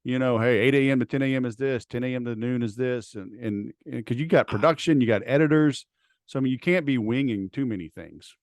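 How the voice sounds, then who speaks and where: Male podcast host, chatty and casual, mid pitch, dry close mic. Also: fairly quick, a little mellow, no room sound. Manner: chatty, says dude and like a lot. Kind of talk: casual interview talk.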